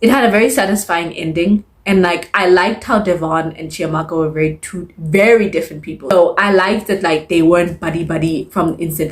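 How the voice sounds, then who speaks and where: A woman reviewing books in a casual, chatty way, expressive and emphatic, often repeating words to stress them. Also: lowish voice. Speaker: book reviewer. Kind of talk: casual chatty monthly reading wrap-up.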